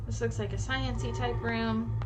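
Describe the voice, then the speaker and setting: A woman's voice: on the high side, level tone, normal speed, very noisy. A woman giving casual commentary on a video game, chatting and thinking aloud as she plays and reads out on-screen text. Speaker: gaming video host.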